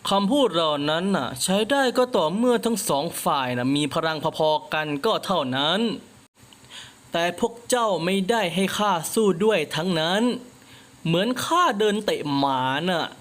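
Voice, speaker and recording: Man voices arrogant young master, contemptuous, high-pitched, cold. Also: expressive, moderate pace, fairly bright tone. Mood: scornful. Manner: mocking, scornful. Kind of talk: manga recap voice acting.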